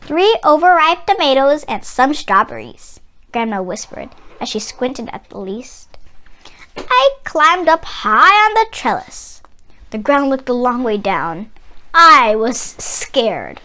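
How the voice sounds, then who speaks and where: A woman's voice: on the high side, sing-song, sharp tone. A woman narrating a children's storybook expressively, with dramatic emphasis. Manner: dramatic, drawn-out emphasis on sound words. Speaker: children's read-aloud narrator.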